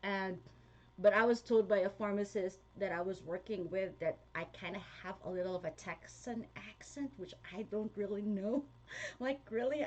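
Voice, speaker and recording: A young woman with a Filipino accent, chatting casually to her viewers, with frequent laughs and fillers. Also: medium pitch. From Philippines. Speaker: vlogger. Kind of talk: casual talk to viewers, reading comments.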